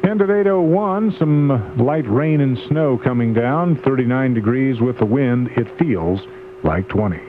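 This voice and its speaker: A man's voice: mid-range voice, animated, a little slow. A man reading a scripted morning news bulletin as a radio newsreader. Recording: tinny AM radio with clear hum.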